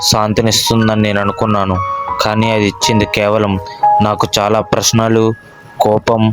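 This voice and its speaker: A man voicing a calm, world-weary grown-up ninja in an anime fan dub, speaking in a grave, restrained way. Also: lowish voice, moderate pace, close to an everyday voice. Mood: somber, regretful. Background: background music.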